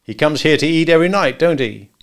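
A man voicing a sardonic gangster hitman who taunts a captive with slow, mocking talk. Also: fairly high pitch, expressive, voice pushed up.

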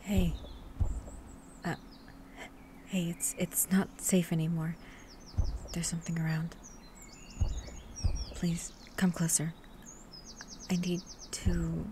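Soft, slow-talking gentle monster hunter played by a woman. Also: fairly low pitch. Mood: worried, protective. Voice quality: soft, breathy whispering at times. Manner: hesitant, tender, coaxing. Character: gentle, protective female monster hunter coaxing a small creature. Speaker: voice actor. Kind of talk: ASMR roleplay, soft-spoken. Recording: steady ambient noise with birdsong.

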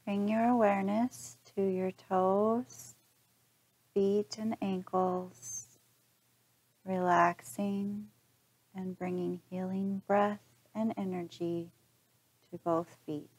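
A woman leading a guided body scan relaxation in a calm, gentle, instructional manner. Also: mid-range voice, slow, long pauses, low-quality recording. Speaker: meditation guide.

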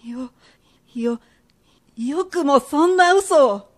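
Woman acting a high-voiced, indignant merchant's wife. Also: animated, very slow, exaggerated voice. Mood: outraged. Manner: sharp-tongued, old-fashioned townswoman speech. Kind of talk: period radio drama acting.

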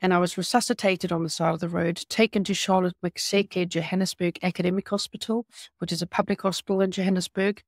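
A middle-aged woman with a South African accent, telling her story as an interview guest over a video call, with many hesitant pauses. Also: on the low side, steady tone, no echo, clean studio sound. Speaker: guest, patient advocate. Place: home room.

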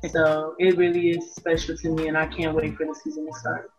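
A woman's voice: sits low, completely flat delivery, a little fast. A young American woman from Las Vegas giving casual interview answers as a college athlete on a video call. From Las Vegas, United States. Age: young adult, about 18. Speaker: college basketball player being interviewed. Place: indoor room, on video call. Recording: dull video-call audio, music underneath. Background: music.

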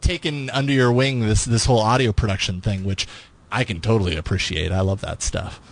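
A man's voice: on the low side, brisk. A man co-hosting a podcast in casual panel chat, talking in an agreeable, affirming way. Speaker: podcast co-host.

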